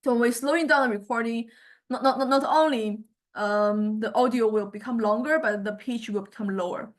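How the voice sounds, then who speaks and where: Halting woman lecturer with a higher-pitched voice. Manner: halting, searching for words, repeating words. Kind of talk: explanatory software demonstration lecture.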